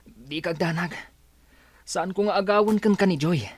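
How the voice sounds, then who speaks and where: A man playing an anxious, placating village neighbour in a radio drama, excitable and pleading. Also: high voice, moderate pace, husky, no room sound.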